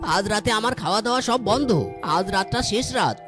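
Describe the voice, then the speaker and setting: A woman's voice: medium pitch, a little fast, lightly acted. A woman voicing a hot-headed, boastful male fox husband in a cartoon, exclaiming melodramatically. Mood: exasperated. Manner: exclaiming, melodramatic, catchphrase-driven. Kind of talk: exaggerated cartoon acting. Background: music.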